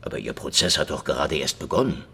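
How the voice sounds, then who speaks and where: An actor playing a cynical, sardonic and defiant Soviet secret police detective in a hardboiled radio crime drama, with wry, dramatic delivery. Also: male voice, rumbling low, expressive, fast, gravelly, sharp tone, a little hiss or hum, full character voice. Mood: dry, resigned. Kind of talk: hardboiled radio crime drama, first-person narration and dialogue. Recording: music behind the voice.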